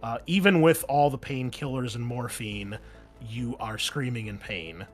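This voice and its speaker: A man's voice: mid-range voice, unhurried. A man acts as game master for a tabletop game, with a mid-range voice. He narrates casually and graphically, in informal language. Faint music plays behind him.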